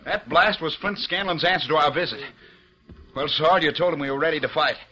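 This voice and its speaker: A hot-headed settler husband from a vintage radio western, blunt and defiant. His voice is bright and sits a bit high. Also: brisk, faint music behind.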